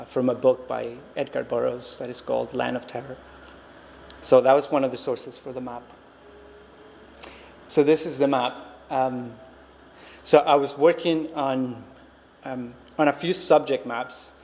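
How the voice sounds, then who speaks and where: A man's voice: mid-range voice, vocal fry, darkish tone. A man in his thirties from Costa Rica, a native Spanish speaker, giving an artist talk with a reflective, hesitant manner full of ums and restarts, recorded in a reverberant gallery space. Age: 30s. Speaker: visiting artist. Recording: long-reverb hall, faint noise.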